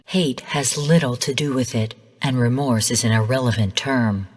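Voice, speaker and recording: An actress playing a female artificial intelligence in a video game, speaking in a measured, formal, clinical manner. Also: rumbling low, breathy edge. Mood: cold, menacing. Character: calm female rogue artificial intelligence mentor turned genocidal overseer. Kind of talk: scripted video game voice lines. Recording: long hall-like reverb.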